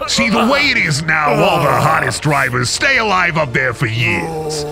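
Male actor for a scheming devil, drawling and boastful, with a rough, gravelly edge. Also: on the high side, sing-song, normal speed. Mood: gleeful. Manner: folksy drawling salesman patter. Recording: over loud music score.

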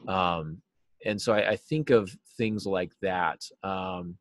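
A man in his forties giving earnest, explanatory answers as an interview guest, stressing key words deliberately. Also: sits low. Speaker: writing teacher and novelist, interview guest. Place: small cluttered office, video call.

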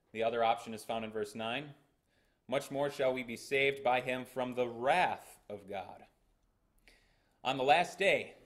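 Young American pastor preaching earnestly. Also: male voice, medium pitch. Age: young adult. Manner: earnest, emphatic preaching with hand gestures. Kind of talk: sermon. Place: small church sanctuary, indoors. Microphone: fixed camera at the front of the church.

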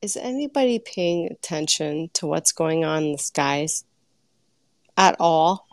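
Slow-talking woman caller. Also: sits low, animated, no room sound.

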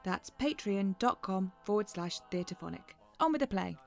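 A woman reads a scripted podcast promo. Her voice sits at a middle pitch and has a marked creak. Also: expressive, normal speed, soft background music. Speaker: podcast announcer.

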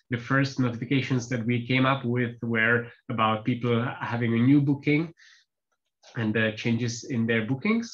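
A man's voice: mid-range voice, a bit bright. Hesitant Czech man on a call. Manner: hesitant, many filler pauses. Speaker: developer presenting updates. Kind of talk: online team call presentation. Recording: slightly dull lo-fi call audio.

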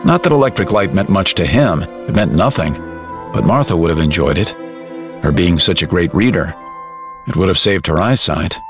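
A man narrating an audio drama in a measured, reflective storytelling style with dramatic pauses. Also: sits low.

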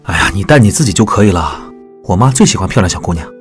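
A man playing a gentle, teasing young boyfriend with a soft, affectionate manner. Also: deep voice, animated, fast talker, soft background music. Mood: tender, teasing.

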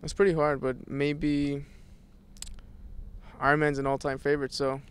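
A man's voice: a little high, little pitch movement, unhurried, a little hiss or hum. A young man answering a street interview on campus, hesitant and casual. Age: young adult. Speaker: student interviewee.

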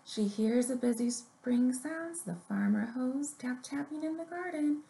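A woman's voice: high-pitched, animated, measured pace, creaky, dark tone. A woman in her thirties hosting a children's story time, giving simple, encouraging instructions to little kids. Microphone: webcam or laptop at arm's length. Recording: roomy echo, noticeable background noise, webcam sound.

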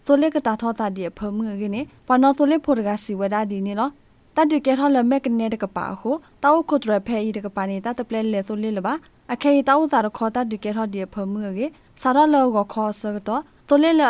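Female news presenter, fairly high voice, scripted read, dry close sound. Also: brisk, darkish tone, no room sound.

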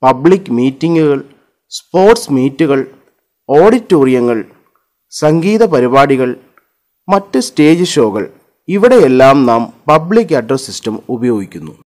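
A man narrating an electronics lesson over slides, listing terms one at a time in a measured way. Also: medium pitch, very animated, darkish tone. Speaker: teacher.

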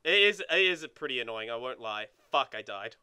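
A man co-hosting a let's play with casual, animated, sweary gaming commentary. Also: mid-range voice, a little fast, clear, resonant tone.

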